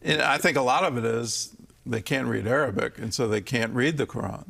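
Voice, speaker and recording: Gravelly halting male podcast host. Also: medium pitch, a bit bright. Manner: hesitant, halting questions. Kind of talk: podcast interview.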